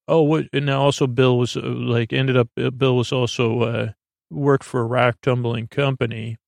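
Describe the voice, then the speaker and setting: A man with a gravelly, creaky voice rambles as a podcast host, improvising as he goes. He stammers, trails around and doubles back, recorded close and dry. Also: mid-range voice, little pitch movement, no echo. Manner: meandering, halting, often stammering and doubling back.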